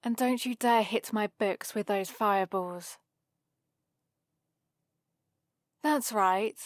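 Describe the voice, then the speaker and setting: Slow, sardonic vampire librarian played by a woman. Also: medium pitch, no room sound, lightly acted. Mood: taunting. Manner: dry, sardonic, theatrical. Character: haughty, sarcastic centuries-old female vampire librarian. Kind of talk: scripted ASMR roleplay acting.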